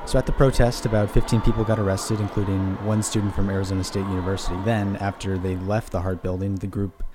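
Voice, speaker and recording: A young man co-hosting and reporting on a news podcast, reading his script in a measured way. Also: low-pitched, little pitch movement, background noise. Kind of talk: scripted podcast narration with banter. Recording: music bed underneath.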